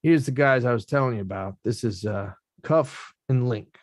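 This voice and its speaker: A man reading the part of a rough-talking young male boxer at a script table read, slangy and self-mocking. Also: mid-range voice, normal speed, no echo, studio quality, close to an everyday voice.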